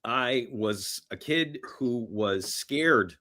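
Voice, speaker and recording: A man's voice: mid-range voice, expressive, extremely slow, bright, forceful tone. Middle-aged male podcast guest, mid-range voice, casual and enthusiastic, loops back over his own phrases as he rambles. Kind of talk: casual film chat.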